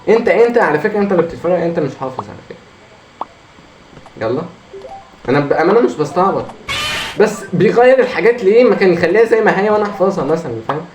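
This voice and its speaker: A hoarse man with an Egyptian Arabic accent, commenting on his own gameplay, muttering encouragement to himself and breaking into frustrated outbursts. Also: sits high. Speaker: gamer. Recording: echoey room with faint noise.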